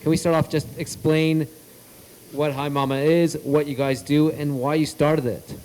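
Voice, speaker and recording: A man's voice: a little high, fairly even intonation, normal speed, darkish tone, rough recording. A Canadian man hosting an on-stage interview, asking questions casually with fillers. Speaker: interviewer host. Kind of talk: fireside chat interview. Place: event stage.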